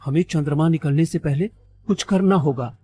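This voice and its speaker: A brave young cartoon hero, acted by a man, with a high voice and an earnest, emphatic manner. He sounds good-hearted, with soft music underneath. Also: normal speed, lightly acted. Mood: earnest, worried. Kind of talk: Hindi cartoon story voice acting.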